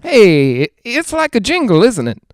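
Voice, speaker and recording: Actor as high-voiced, jittery radio broadcaster. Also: male voice, huge pitch swings, dry recording, clean studio sound, heavily acted. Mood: jumpy, squeamish. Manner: nervous, rambling, halting, self-reassuring. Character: jittery, lonely man broadcasting alone from haunted house. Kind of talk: 1940s-style radio drama monologue.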